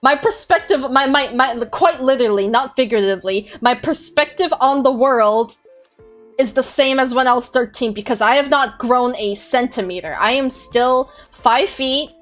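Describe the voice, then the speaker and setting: A woman's voice: sits high, moderate pace, soft background music. A woman in her twenties hosting a podcast, talking in a rambling, reflective way with many fillers and restarts. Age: 20s. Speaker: podcast host. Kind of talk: casual chat on a group call.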